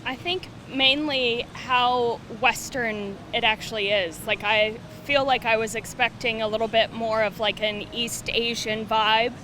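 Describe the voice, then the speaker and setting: A woman's voice: on the high side, steady tone, measured pace, hardly any pauses, sharp tone. A woman giving casual, conversational interview answers. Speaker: street interviewee. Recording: outdoor street with traffic noise.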